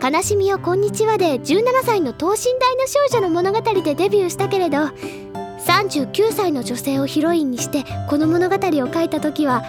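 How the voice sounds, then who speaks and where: A woman's voice: very high voice, expressive, normal speed, over music. A woman playing an earnest, bookish teenage schoolgirl in a Japanese audio drama, speaking in refined, effusive feminine phrases. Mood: rapturous. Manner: effusive, refined feminine speech, gently persuasive. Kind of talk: Japanese audio drama, anime-style acting.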